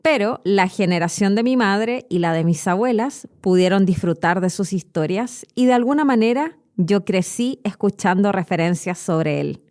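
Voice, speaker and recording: A woman's voice: mid-range voice, expressive, moderate pace, few pauses, darkish tone. A woman in her thirties with a Chilean accent, narrating scripted educational stories expressively as a podcast host. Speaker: podcast host and Spanish teacher.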